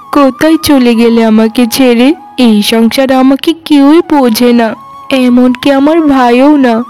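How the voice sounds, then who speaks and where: A woman's voice: high voice, darkish tone. A woman voicing a meek, sorrowful orphan girl in a cartoon, lonely and pleading, with music underneath. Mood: grieving, pleading. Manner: plaintive, pleading. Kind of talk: animated cartoon drama voice.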